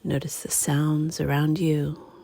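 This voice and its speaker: A woman leading a guided meditation in a hushed, breathy, gentle and unhurried way, leaving long pauses between phrases. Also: deep voice, little pitch movement, no room sound. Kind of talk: guided meditation, slow and soothing.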